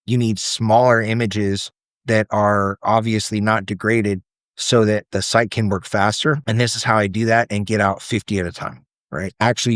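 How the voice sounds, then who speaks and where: A man's voice: on the low side, no echo, studio quality. A man giving a casual screen-share tutorial, explaining things informally step by step. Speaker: presenter demonstrating self-built apps.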